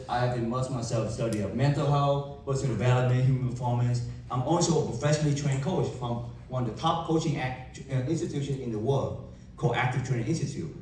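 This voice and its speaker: A young man with a Vietnamese accent giving an emphatic motivational keynote to a live audience, with dramatic pauses and rhetorical questions. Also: medium pitch, a little mellow, a little hiss or hum. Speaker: motivational speaker. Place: large event hall. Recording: echoey hall from far off.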